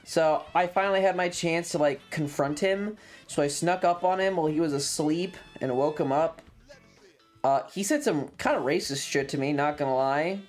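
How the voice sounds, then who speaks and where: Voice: medium pitch, moderate pace. A streamer telling a story to chat in a casual, sweary, sardonic way, acting out the dialogue as they go. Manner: sweary, sardonic, animated retelling with acted-out dialogue. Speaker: streamer hosting a variety game stream. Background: video game music.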